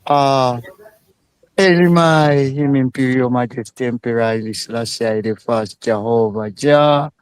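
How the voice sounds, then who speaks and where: A man from Uganda speaking as a guest on a live show. He talks slowly, with many pauses and fillers. His voice is smooth and rather low, and the sound is dry and close. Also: dry recording. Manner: slow, halting speech with many fillers. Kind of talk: casual live interview.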